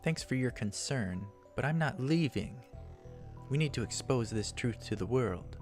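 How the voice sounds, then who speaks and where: A man's voice: mid-range voice, animated, measured pace, a little mellow, soft background music, pitched above the performer's own voice, natural voice. A man voicing a stubborn, defiant young woman scientist in a visual novel reading. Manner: firm, questioning.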